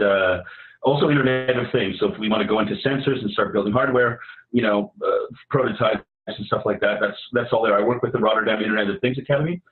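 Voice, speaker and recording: A man with a Canadian accent giving an informal project pitch in a conversational, somewhat halting way. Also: phone-quality sound. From Vancouver, Canada. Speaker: editor and hackathon participant pitching a project. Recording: roomy, echoey sound.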